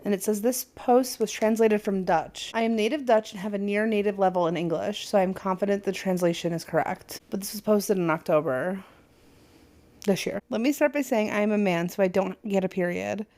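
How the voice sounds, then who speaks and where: Woman podcaster reading a reddit story out loud, chatty, trips over words sometimes. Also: medium pitch, a little fast. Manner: reads aloud with asides and stumbles. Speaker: podcast co-host. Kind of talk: casual podcast chat, reading a forum post aloud.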